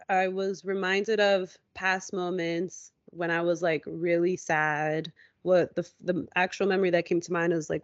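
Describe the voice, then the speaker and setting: A woman co-hosting a podcast, talking casually and reflectively about her own experience in an informal, halting way. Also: lowish voice, no room sound, muffled recording. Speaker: podcast co-host.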